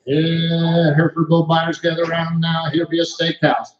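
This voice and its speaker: A man working as a livestock auctioneer, calling bids in a rapid rhythmic chant. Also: a little high, monotone, echoey room, muffled recording. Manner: rhythmic repetitive bid calling. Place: cattle sale ring.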